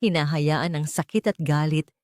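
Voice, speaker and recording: Female storyteller, lower register, dry close sound, for dramatic scripted audio fiction. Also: moderate pace, a little mellow, no echo. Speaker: storyteller, narrator.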